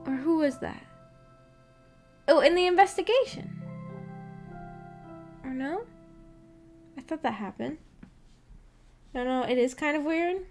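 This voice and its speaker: A woman's voice: high-pitched, expressive, slow pace, long pauses, a little mellow. A woman livestreamer chatting casually with her viewers in a hesitant, rambling, giggly manner. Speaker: streamer and host of a visual novel book club. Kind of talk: casual livestream chat, reacting to viewer comments. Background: background music.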